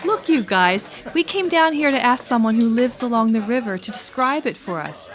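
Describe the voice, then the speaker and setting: A woman's voice: on the high side, lively intonation, moderate pace, close to an everyday voice. A woman acting in community radio theatre as a focused, wry friend who herds her distracted companions. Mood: exasperated.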